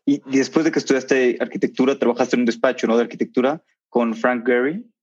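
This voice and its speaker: Fast-talking male podcast host. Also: medium pitch, steady tone, no echo, highs cut off. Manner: brisk, friendly, playful greeting of listeners. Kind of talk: podcast intro and interview questions.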